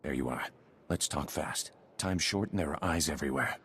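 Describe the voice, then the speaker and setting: A man's voice: a bass voice, normal speed, gravelly, fairly bright tone, breathy edge, heavily acted. An actor playing a watchful male intelligence operative in a video game, speaking in terse, clipped phrases. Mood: brisk, guarded.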